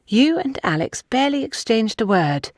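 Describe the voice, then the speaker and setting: A woman's voice: on the high side, sing-song, moderate pace, dry recording, clean studio sound, voice pushed up. Emphatic, gossipy society mother acted by a woman. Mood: probing. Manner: emphatic, chatty, dramatic. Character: older society mother, gossipy, worried, insistent matchmaker.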